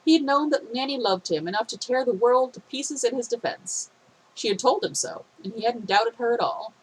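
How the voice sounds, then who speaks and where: A woman reading a fan fiction story aloud in a steady, expressive way, her voice a little phlegmy. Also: on the high side, moderate pace, slightly rough, poor audio.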